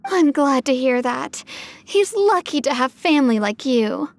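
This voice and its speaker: A woman playing an anxious, gentle village mother in a video game, earnest and polite. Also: sits high, animated, unhurried. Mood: thoughtful.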